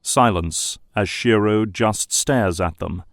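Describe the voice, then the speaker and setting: A man's voice: fairly low pitch, slow pace, no echo, clean studio sound. A man reading scripted narration for an audio drama.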